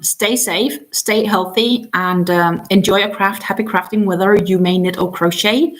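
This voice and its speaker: A woman's voice: medium pitch, rough recording. Chatty woman. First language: Danish. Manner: chatty, many ums, trails off and restarts. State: tired after a long day. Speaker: crochet livestream host. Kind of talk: casual livestream chat.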